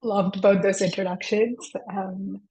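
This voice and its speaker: A woman speaking as a webinar panelist over a video call in a calm, reflective way. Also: mid-range voice, unhurried, husky, rough recording. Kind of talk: webinar introduction.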